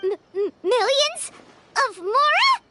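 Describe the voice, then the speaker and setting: Tiny childlike fairy sidekick for a game, super high squeaky voice, stretches words out, excitable. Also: female voice, takes it slowly, very noisy, full character voice. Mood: astonished. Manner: exclamatory, reacts with exaggerated emotion. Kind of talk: video game voice acting. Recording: loud game music behind.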